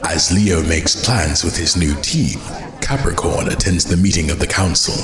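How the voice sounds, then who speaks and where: A man's voice: sits low, normal speed. A man reading scripted story narration as the narrator of an audio drama. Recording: roomy echo under loud music.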